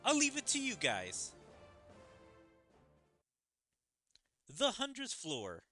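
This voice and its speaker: Man voicing an excitable, high-pitched young fantasy hero. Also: normal speed, soft background music, heavily acted. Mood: concerned.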